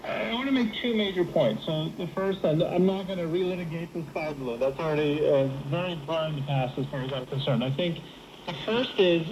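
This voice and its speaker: Guy analyst on a panel, slightly high voice, echoey room, going point by point, shortwave radio. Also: fairly quick, darkish tone. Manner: structured, enumerating points. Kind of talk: measured political analysis. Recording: roomy call over noisy shortwave radio.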